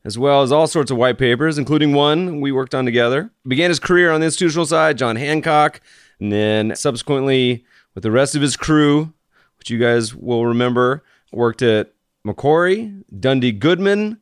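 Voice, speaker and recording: A man's voice: mid-range voice, normal speed, clean tone, no echo, clean studio sound. A man hosting a podcast, chatting casually and joking with his guest in an upbeat way. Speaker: podcast host. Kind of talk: casual interview chat.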